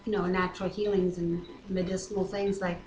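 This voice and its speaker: A woman's voice: fairly low pitch, flat delivery, a little fast, darkish tone. A woman with a conversational, informal manner, co-hosting a casual Bible reading, recorded on a webcam microphone. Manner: conversational, adds informal asides. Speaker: co-host reading the Bible aloud. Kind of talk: casual chat and scripture reading. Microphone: webcam microphone at a distance. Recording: echoey room, faint noise, webcam microphone.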